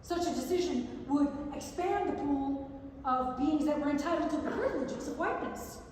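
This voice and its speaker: A woman's voice: high-pitched, normal speed, a little mellow, a little hiss or hum. A woman giving a staged reading of non-fiction prose, recorded from far off in an echoey theatre. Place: large indoor theatre.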